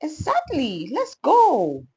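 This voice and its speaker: A woman's voice: sits high, huge pitch swings, slow pace, dark tone, a little hiss or hum, pitched above the performer's own voice, full character voice. A woman voicing a cheerful, confident male cartoon starfish who teaches surfing with enthusiastic surfer talk in a storybook.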